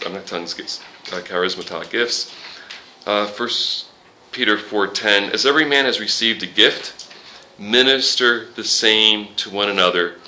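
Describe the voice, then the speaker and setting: A man leads a relaxed Bible class, quoting verses and joking with his students. His voice is pitched a little low but sounds very bright and forward. A faint hiss sits under the recording. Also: low-quality recording. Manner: conversational, quotes scripture, jokes with the class. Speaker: Bible study teacher. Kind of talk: informal Bible class teaching.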